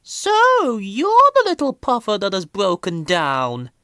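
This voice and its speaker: A woman's voice: mid-range voice, wildly swooping pitch, slow, sharp tone, clean tone, no room sound, clean studio sound, pitched above the performer's own voice, full character voice. A woman voicing a rude, proud old female steam lorry in a children's storybook, brusque and scolding. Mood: haughty, scolding.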